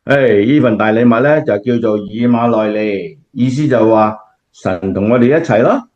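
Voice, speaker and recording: A man's voice: on the low side, moderate pace, darkish tone, natural voice. A man with a Cantonese accent playing a kindly, devout grandfather who explains things patiently in a scripted radio drama. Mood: kindly, explanatory. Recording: dull, lo-fi audio.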